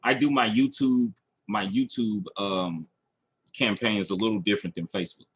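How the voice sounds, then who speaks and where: A man's voice: fairly low pitch, fairly even intonation, normal speed, breathy edge. A man teaching online marketing in a screen-share tutorial, talking through each step aloud and checking in as he goes. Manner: instructional, checking in with "okay" and "all right".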